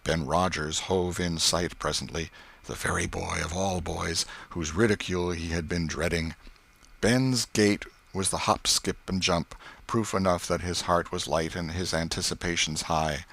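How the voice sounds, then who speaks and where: A man reading a story as an audiobook narrator, in a measured, expressive literary style. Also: low voice, vocal fry.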